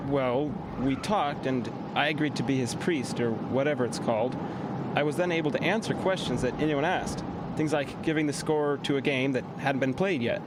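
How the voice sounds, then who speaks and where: A man's voice: moderate pace, natural voice. A man playing a thoughtful teenage boy in an audio drama, explaining things in a matter-of-fact way. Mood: earnest, explaining. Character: thoughtful teenage boy, newly priest to an oracle. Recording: clear outdoor ambience and vehicle rumble.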